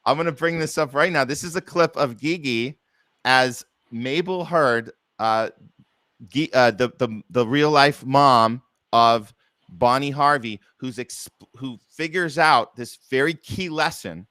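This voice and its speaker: A man's voice: mid-range voice, a little slow, bright, forceful tone, dry recording. A man hosting a casual interview, talking in an effusive, appreciative, rambling way. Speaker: podcast host, theater producer.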